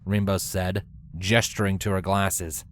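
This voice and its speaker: A man's voice: low-pitched, level tone, unhurried, a bit bright, dry recording. A man reading scripted story narration as the narrator of a tale.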